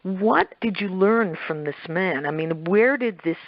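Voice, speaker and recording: A woman's voice: on the low side, lively intonation, a little slow, dry recording. Chatty woman radio host, dull dry audio. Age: middle-aged or older. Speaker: radio talk show host. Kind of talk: conversational interview with personal anecdotes.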